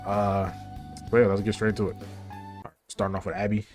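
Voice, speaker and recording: A man's voice: lowish voice, flat delivery, fairly quick. An American man streaming a casual, slangy reaction to a game story, with a slightly stuffy nose. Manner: slangy, informal, hyped asides. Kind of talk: casual livestream reaction commentary. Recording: game music behind the voice.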